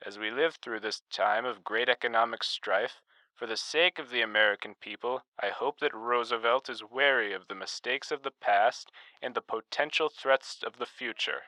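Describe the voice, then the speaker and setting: A man's voice: mid-range voice, normal speed, pushed and bright, no echo, close to an everyday voice. A man playing an earnest 1930s radio presenter, reading scripted narration in a radio play.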